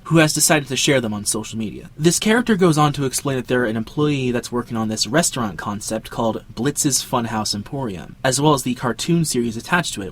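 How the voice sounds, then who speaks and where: A man's voice: mid-range voice, slight background noise. A young man hosting a video essay with casual scripted narration and dramatic emphasis. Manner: dramatic emphasis with jokey asides. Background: background music.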